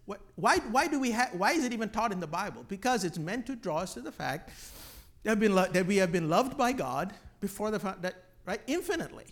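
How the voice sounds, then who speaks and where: A man from Malaysia teaching a class informally, halting and repeating words, often checking in with "right?". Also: high-pitched, sing-song, fairly bright tone. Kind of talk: informal teaching with questions from the group.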